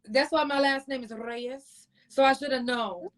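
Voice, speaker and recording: A woman's voice: high-pitched, measured pace. A woman hosting a casual interview podcast, chatting in an affirming, slangy way. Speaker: podcast host.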